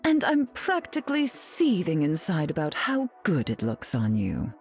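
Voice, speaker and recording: A woman's voice: low-pitched, huge pitch swings, measured pace, a little mellow, soft background music, full character voice. A woman playing a haughty, glamorous, sardonic society queen in a video game, imperious and arch in manner. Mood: haughty, sly. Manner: imperious, arch, drawling endearments. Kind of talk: video game character dialogue.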